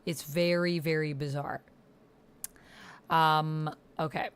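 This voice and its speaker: A woman's voice: low-pitched, little pitch movement, slow, clear, resonant tone. A woman hosting a roleplaying game, chatting casually and expressively in slangy, informal language as she plays along. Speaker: host playing a text-based roleplaying game. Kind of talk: casual chat while playing along with typed roleplay.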